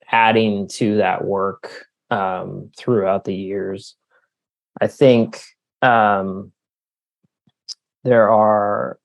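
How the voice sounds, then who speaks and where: A man speaking casually and reflectively as an interview guest, halting often as he searches for the right words. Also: fairly low pitch, gravelly, a little mellow. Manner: halting, thoughtful, searching for words with long hesitations. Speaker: author being interviewed.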